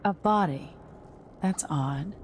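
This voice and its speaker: A hunted video game heroine. Her voice sits low, and she speaks in a terse, guarded, cautious way. Faint noise runs behind her. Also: slow. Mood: guarded, questioning. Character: guarded, wary woman fugitive on a secret mission. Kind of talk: video game character dialogue.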